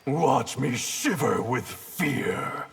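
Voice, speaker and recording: An actor playing a proud, cold, disdainful ninja in a video game, stern and sardonic with a gravelly voice. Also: male voice, mid-range voice, expressive, extremely slow, slight background noise, exaggerated voice. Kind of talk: video game fight banter.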